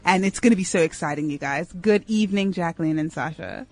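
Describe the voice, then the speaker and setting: A woman's voice: fairly low pitch, fast talker, darkish tone. A woman hosting a radio show, chatting in a bubbly, casual way. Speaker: radio host. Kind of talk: casual radio chat and presenting. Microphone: studio microphone.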